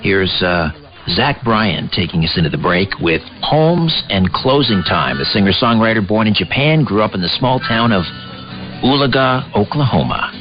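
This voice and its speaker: A man hosting a radio talk show, speaking in measured, halting phrases as he interviews and leads into breaks. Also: lowish voice, very animated, music in the background. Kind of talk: live interview and break announcements. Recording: dull lo-fi shortwave radio relay.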